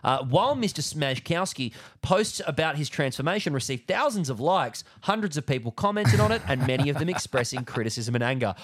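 A man's voice: a little high, very animated, fairly quick, few pauses, soft background music. A young man co-hosting a podcast, chatting casually and reading a news story aloud with jokes and laughs. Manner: jokey, laughs through lines, mock accents. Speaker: podcast co-host.

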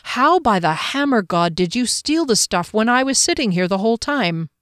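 An eager, plain-spoken male adventurer in a fantasy storybook, read by a woman. His talk is informal, slightly raised in pitch, with a very dry sound. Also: animated, moderate pace, fairly bright tone, no echo. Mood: baffled.

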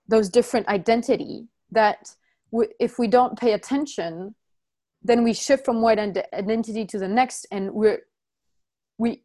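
Woman, from France, workshop facilitator, halting, frequent restarts, explaining slowly. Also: a little high, dark tone. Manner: halting, many restarts and self-corrections. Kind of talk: teaching a group, reading from notes.

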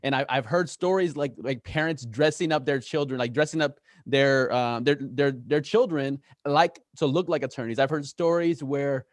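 A man's voice: medium pitch, normal speed, hardly any pauses, dry recording, clean studio sound. A man hosting a podcast interview, eager and upbeat, often repeating words as he builds a thought. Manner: enthusiastic, repeats words when excited. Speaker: podcast host.

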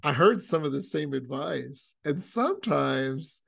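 Earnest host with a heavily creaky voice. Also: male voice, on the high side, expressive, unhurried. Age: 40s. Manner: earnest, musing, soft affirming murmurs. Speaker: podcast host. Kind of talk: conversational interview.

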